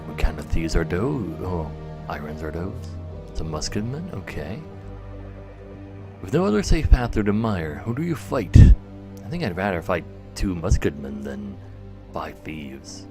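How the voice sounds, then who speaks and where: Low, gravelly male game streamer. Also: lively intonation, unhurried, loud music behind the voice. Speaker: live streamer. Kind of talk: gameplay commentary, reading game text aloud. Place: indoors, livestream with game music behind.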